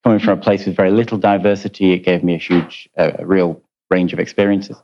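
A man with a Yorkshire accent speaking on a panel, hesitant and pausing often with fillers. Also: sits low, flat delivery, lo-fi sound, a little hiss or hum. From Yorkshire, England. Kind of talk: panel discussion.

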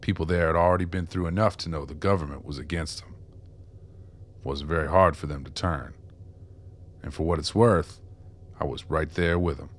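Deep-voiced, world-weary old informant. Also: male voice, moderate pace, long pauses. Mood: grim confession. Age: older. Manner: world-weary, streetwise, confessional. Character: remorseful ragged old male ex-con turned informant. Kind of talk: radio drama acting.

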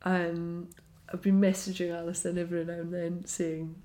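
A woman's voice: fairly low pitch, little pitch movement, moderate pace, mellow. Enthusiastic woman podcast host. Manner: enthusiastic, chatty, laughs easily. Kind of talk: casual podcast chat and interview.

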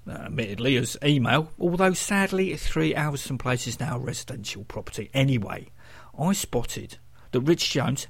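London man presenting a music radio show. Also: a little high. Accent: London English. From London, England.

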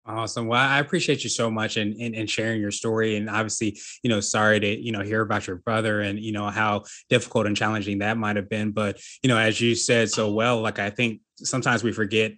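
A man hosting an interview, affirming his guest in a quick, filler-heavy way with many restarts. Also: lowish voice, little pitch movement, bright, forceful tone. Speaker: interview host. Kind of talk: conversational interview.

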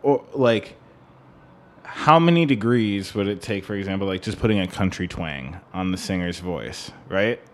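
A man co-hosting a music podcast, talking casually and analytically in a halting, rambling way with some swearing. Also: low voice, animated.

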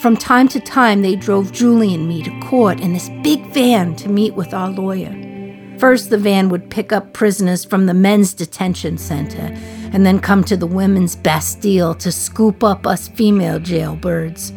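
A woman's voice: medium pitch, moderate pace, darkish tone, close to an everyday voice. A condemned woman prisoner in her thirties, played by an actress confides in the listener with a wry, defiant edge. Her voice sits mid-range and conversational. Faint music plays under this radio monologue. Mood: wistful.

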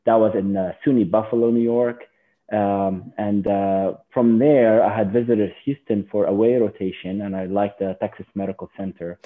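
A man from Lebanon, a physician guest in an interview, talking in a halting way with many fillers and restarts. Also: fairly low pitch, fairly even intonation. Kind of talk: casual interview. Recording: tinny phone line.

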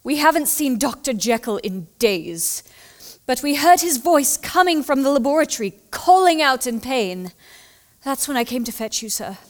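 A woman's voice: high-pitched, sing-song, normal speed. A woman playing an anxious, loyal Victorian housekeeper in an audio drama, speaking formally and urgently, with deference. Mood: anxious, hushed urgency. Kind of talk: dramatic audio play acting.

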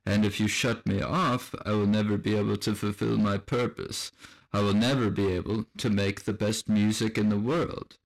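An actor playing a coolly logical, condescending AI machine with murderous intent in a radio drama. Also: male voice, on the low side, fairly even intonation, moderate pace, vocal fry, low-quality recording. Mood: cold, menacing. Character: calm, menacing AI music machine bent on killing. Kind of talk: scripted radio drama dialogue. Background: faint music.

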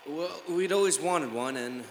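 An actor playing a dazed, troubled father talking haltingly to his psychiatrist in a 1950s radio drama. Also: a little high, natural voice. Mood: dazed, wistful. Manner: halting, distraught, wistful. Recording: echoey old radio recording, noisy.

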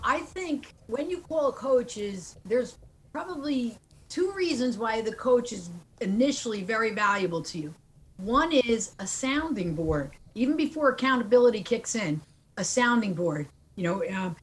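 A woman life coach answering interview questions in an earnest, encouraging way, laughing easily. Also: medium pitch.

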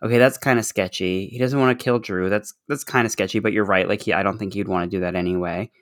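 A man's voice: lowish voice, steady tone, quick pace, a bit bright. A man co-hosting a podcast, talking casually in a laid-back, tentative way. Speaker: podcast co-host. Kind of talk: casual discussion.

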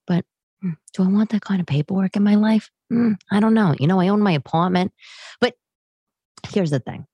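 A woman in her late thirties chats to her audience in a somewhat low voice, recorded dry with little room sound. Her delivery is casual and animated, like an unscripted podcast monologue. She is opinionated and direct, addressing listeners as if in conversation. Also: vocal fry, slightly rough, no echo. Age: late 30s. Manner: animated, conversational, acts out others' remarks. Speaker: podcast host.

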